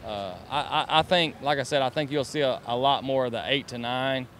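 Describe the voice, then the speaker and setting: A man's voice: medium pitch, fairly quick, a bit bright. A man answers interview questions outdoors in a hesitant, thinking-aloud manner. He speaks into a handheld mic. A faint outdoor hum runs underneath. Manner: hesitant, musing. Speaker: professional angler. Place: outdoors by boats. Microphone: handheld mic held by interviewer.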